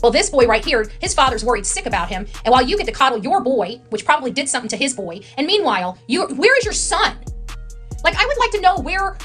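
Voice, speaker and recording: A woman's voice: high-pitched, big pitch swings, fast talker, a bit bright, soft background music. A woman hosting an online true-crime channel, delivering an impassioned, combative rant with emphatic repetition.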